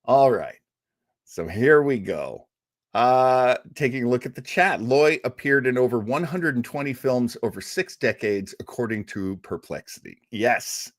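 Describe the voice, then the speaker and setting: Dude hosting a stream, chatty, reads the chat, dry close mic. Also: male voice, medium pitch, animated, unhurried, no echo. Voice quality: rambling, chatty, reads out viewer comments. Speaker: show host. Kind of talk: casual livestream chat.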